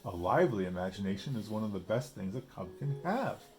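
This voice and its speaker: A man's voice: lowish voice, very animated, a little fast, soft background music. A man reading a picture book, voicing a big, kindly, reassuring father bear. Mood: encouraging. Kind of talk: picture book read-aloud.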